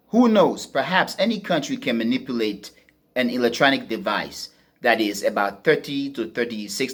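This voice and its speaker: Male podcast commentator, medium pitch, emphatic delivery with repetition for stress, thinking aloud through a monologue. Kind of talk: spoken geopolitical commentary, semi-improvised monologue.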